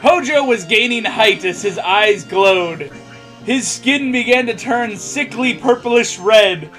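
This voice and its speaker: High-voiced, unhurried sarcastic male reader. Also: a bit bright, faint music behind, low-quality recording. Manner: sarcastic, theatrical, stumbles over text. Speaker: podcast co-host reading fan fiction aloud. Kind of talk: mocking read-aloud with joking asides.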